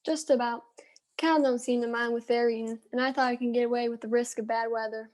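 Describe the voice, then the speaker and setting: Woman playing a high-voiced American farm wife. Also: fairly even intonation, brisk, darkish tone, close to an everyday voice. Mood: forthright, neighborly. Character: forthright, neighborly farm wife. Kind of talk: amateur stage-play acting.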